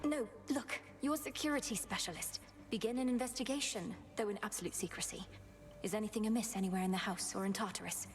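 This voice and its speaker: A woman performs a resolute young princess from a fantasy game. She has a medium-pitched voice, speaking formally and urgently, as a bossy sister would. Faint noise sits behind her. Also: fast talker, natural voice. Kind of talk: video game dialogue acting.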